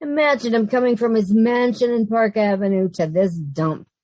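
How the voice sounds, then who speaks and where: A long-suffering, status-conscious young woman played by an actress in a radio sitcom. She is emphatic and sensible, with a fairly high voice recorded close and dry. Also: normal speed, warm tone, no echo, lightly acted. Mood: exasperated, scolding. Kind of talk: old-time radio sitcom acting.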